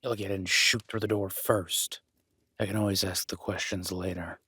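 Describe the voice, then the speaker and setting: Man playing a gruff, low-voiced, irritated cabin dweller. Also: level tone, bright, forceful tone, no echo, full character voice. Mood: angry, wary. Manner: gruff, hurried, swearing. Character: irritated, armed, foul-mouthed male cabin dweller, suspicious and gruff. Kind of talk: horror audio roleplay acting.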